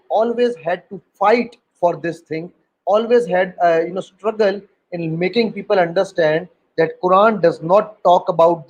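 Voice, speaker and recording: Man with a high voice. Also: rough recording. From Pakistan. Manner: hesitant, many fillers and restarts. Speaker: host. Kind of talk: moderating an online panel discussion.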